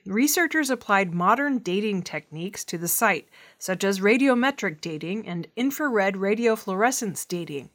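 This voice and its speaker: A woman reading scripted news stories as a measured narration for listeners. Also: medium pitch, fairly bright tone. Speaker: news reader.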